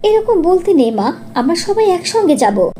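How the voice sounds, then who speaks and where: A woman's voice: extremely high pitch, big pitch swings, normal speed, warm tone. A woman voicing a firm, caring mother of young girls in a cartoon story. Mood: gentle coaxing.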